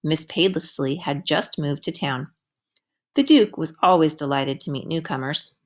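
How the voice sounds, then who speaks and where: A woman reading a children's picture book aloud as a teacher would, with expressive storytelling delivery. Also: sits low, a little slow.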